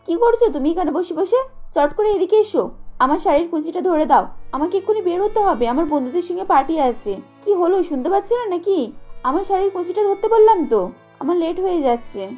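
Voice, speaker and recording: Woman acting a haughty, high-pitched young sister-in-law. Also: expressive, fairly quick, warm tone, muffled recording, soft background music. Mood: haughty, impatient. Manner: bossy, impatient, scolding. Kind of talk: cartoon story acting.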